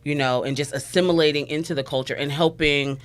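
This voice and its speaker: A woman's voice: low voice, steady tone. A woman from Florida, co-hosting a casual radio talk show in an emphatic, churchy way. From Florida, US South. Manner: churchy, emphatic, talks fast. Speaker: co-host.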